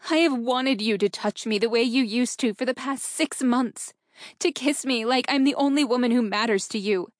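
A woman's voice: fairly high pitch, moderate pace, no echo, clean studio sound, pitched above the performer's own voice, lightly acted. A woman voicing an anguished, angry wife in an audiobook, accusing and emotional as she confronts her husband. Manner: accusing, emotional, pleading for truth. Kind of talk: audiobook character dialogue.

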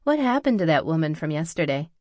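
A woman's voice: low-pitched, big pitch swings, fast talker, darkish tone, no echo. Woman as a curious, flustered young woman, dry sound. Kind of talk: audiobook character dialogue.

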